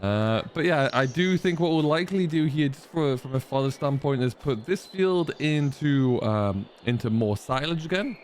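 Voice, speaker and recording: A man's voice: mid-range voice, lively intonation, normal speed, hardly any pauses, slight background noise. A man giving casual, rambling commentary as host of a farming roleplay game, thinking aloud as he goes.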